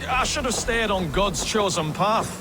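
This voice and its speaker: An actor playing a nervous, bumbling former monk posing as a lord in a video game, with a halting stutter and clumsy bluster. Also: male voice, high-pitched, expressive, background noise. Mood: nervous, rueful. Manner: blustering, halting, self-doubting. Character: nervous, bumbling peasant-born runaway monk posing as a thane. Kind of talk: video game character acting.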